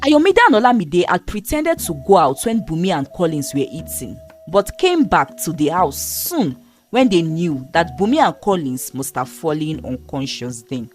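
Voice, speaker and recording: Woman reading out a folk story, low voice, lots of rise and fall, faint music under it. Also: sing-song, moderate pace. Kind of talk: scripted story narration.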